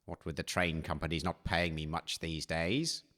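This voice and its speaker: A man playing a shifty, underpaid railway station master in a comedy audio drama, with a gruff, muttering, crude way of talking. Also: deep voice, steady tone, moderate pace, a bit bright. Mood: grumbling.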